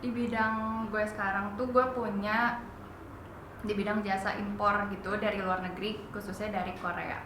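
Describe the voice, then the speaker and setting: A nineteen-year-old woman answering interview questions in a relaxed, chatty way. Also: mid-range voice, moderate pace. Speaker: interviewee, student. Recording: echoey room, noisy background.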